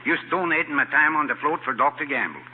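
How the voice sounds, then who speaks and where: An actor playing a grumbling, good-natured janitor in a deadpan 1940s radio comedy style. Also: male voice, fairly high pitch, fast talker, close to an everyday voice. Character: grumbling good-natured immigrant lodge janitor, simple and plodding. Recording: noisy muffled old radio broadcast.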